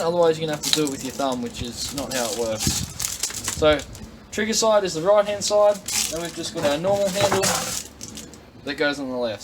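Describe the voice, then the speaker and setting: A man with an Australian accent and a fairly high voice walks through a product setup step by step. His delivery is informal and plain-spoken. The recording is clearly noisy, made at a workshop bench. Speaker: product demonstrator. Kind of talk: casual instructional workshop demonstration. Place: indoor workshop at a tool bench.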